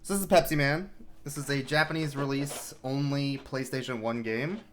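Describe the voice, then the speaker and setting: Casual guy chatting thru his speedrun on stream, mid-pitched, chill, some quiet game music behind. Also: normal speed. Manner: relaxed, chatty, explaining as he plays. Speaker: speedrunner commentating his run. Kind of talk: live gameplay commentary.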